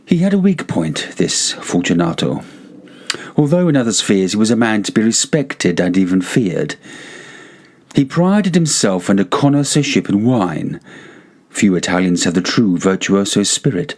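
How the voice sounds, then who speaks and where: A man narrating gothic fiction as an audiobook reader, dramatic and theatrical with deliberate phrasing. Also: on the low side, sing-song, a little mellow.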